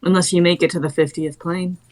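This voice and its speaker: A woman's voice: on the low side, fairly even intonation, a little fast, darkish tone, lightly acted. A woman reading the part of a defiant young female artifact thief, blunt and scornful. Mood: grim.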